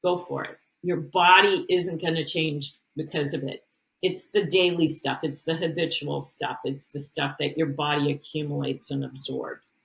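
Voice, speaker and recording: A woman with a fairly low voice talks as a health coach on an interview show. Her advice comes out earnest and emphatic, and she restarts her sentences often, the way people do in relaxed conversation. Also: normal speed, gravelly, rough recording. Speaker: health and nutrition coach, guest. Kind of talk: casual interview talk.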